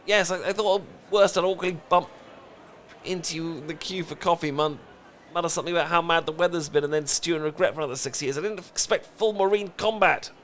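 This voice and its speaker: Man playing a flustered, higher-voiced British best friend. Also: fairly bright tone, slight background noise, lightly acted. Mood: sheepish, exasperated. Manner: stammering, halting, pleading. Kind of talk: comedic romantic audio roleplay acting.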